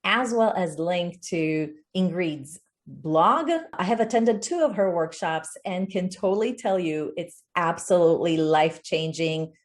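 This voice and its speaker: A woman's voice: on the low side, measured pace, hardly any pauses. A woman hosting a video interview in a conversational style, with casual, informal phrasing. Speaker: interview host.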